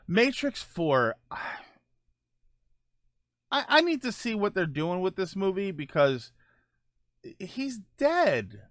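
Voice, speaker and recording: A man's voice: high voice, big pitch swings, slow pace, pauses often, a bit bright, no room sound, studio quality. A man chatting casually as host of a live stream, rambling and joking as he answers viewers.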